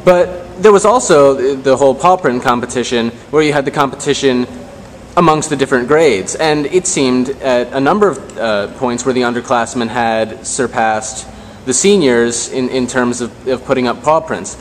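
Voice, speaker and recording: Male interviewer, medium pitch, wordy and halting, long room reverb. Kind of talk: unscripted interview questioning. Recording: reverberant hall, faint noise.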